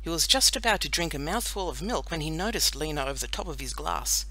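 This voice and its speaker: A woman narrating a children's audiobook in an expressive, deliberate storytelling manner. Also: low-pitched. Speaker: audiobook reader.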